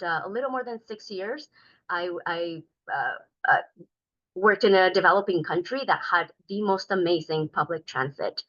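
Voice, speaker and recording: Bright-voiced woman, earnest, lo-fi meeting audio. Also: on the low side. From Denver, Colorado. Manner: earnest, talkative, many fillers. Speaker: state transportation commissioner. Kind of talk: community meeting presentation.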